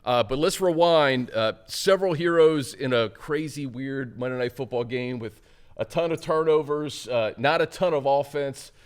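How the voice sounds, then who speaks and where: A man's voice: medium pitch, moderate pace, clear, resonant tone. A man hosting a sports talk show in a casual style, setting up topics and questions for his co-hosts. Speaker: host.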